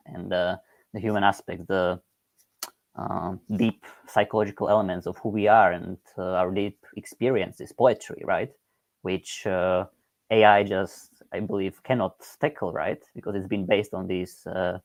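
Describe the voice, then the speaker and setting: A man speaks in a low, dry voice over a video call. He reasons in abstract, academic terms, stopping often on "uh" and adding "right?" to his points. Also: no room sound. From Eastern Slovakia. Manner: hesitant, many "uh" fillers and "right?" tags. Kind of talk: thoughtful academic talk.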